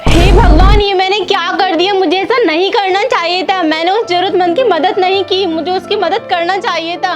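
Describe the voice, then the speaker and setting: A woman's voice: very high-pitched, steady tone, normal speed, hardly any pauses. A woman playing a vain, self-centred young mother in a moral-story skit, with exclamatory, theatrical delivery. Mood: regretful panic. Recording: music under the voice.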